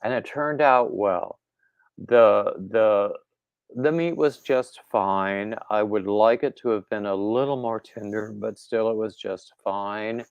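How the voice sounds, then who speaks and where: Male stream host, unhurried and rambling, gravelly lower voice, a bit congested, dull home-mic sound. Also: dry recording. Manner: rambling, relaxed, reads viewer comments aloud. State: slightly congested throat. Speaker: livestream host. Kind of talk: casual chat with live viewers.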